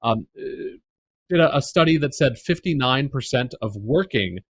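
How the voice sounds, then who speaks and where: A man's voice: medium pitch, animated, moderate pace, no echo, clean studio sound. A man with an American accent, a podcast guest speaking earnestly about the workplace, with plenty of fillers and restarts. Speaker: podcast guest, neurodiversity advocate. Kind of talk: casual interview conversation.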